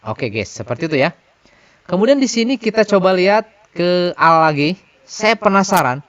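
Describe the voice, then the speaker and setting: A man's voice: high voice, very animated, moderate pace, lo-fi sound. A man hosting a casual product demo and review. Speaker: reviewer and tutorial host. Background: faint music.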